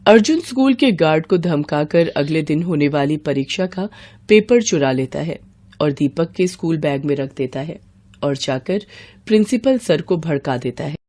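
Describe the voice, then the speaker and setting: A woman's voice: low voice, expressive, moderate pace, warm tone. A woman narrating a scripted moral story for children.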